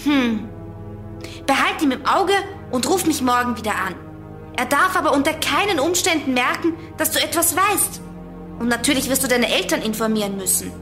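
An actress playing a sharp-witted, grumpy girl detective in a German children's radio play, dry and bossy. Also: sits high, expressive, normal speed, over music. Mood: commanding. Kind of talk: German children's audio drama acting.

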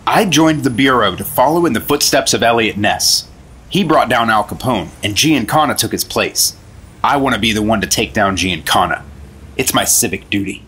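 An actor playing an earnest, hard-boiled rookie federal agent in a 1950s radio drama. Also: male voice, fairly low pitch, animated, moderate pace, fairly bright tone, breathy edge. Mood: earnest, fed up.

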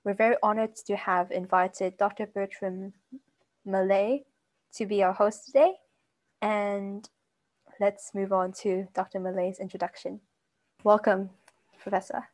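A woman's voice: medium pitch, flat delivery, unhurried, long pauses, darkish tone. A teenage girl hosting a webinar on a video call, polite and partly reading from a script. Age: teens. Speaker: webinar host. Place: home room on a video call. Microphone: laptop mic on video call.

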